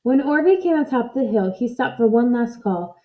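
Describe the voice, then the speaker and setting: A woman reading a children's story aloud in an expressive narrating style. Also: fairly high pitch, fairly quick, phone-quality sound. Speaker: storybook reader.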